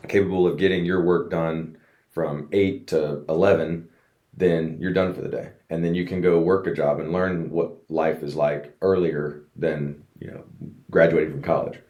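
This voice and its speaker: Very deep-voiced man, earnest and reflective. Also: level tone, normal speed, a little mellow. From United States. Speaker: guest, race car driver. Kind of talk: casual podcast interview. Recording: roomy echo.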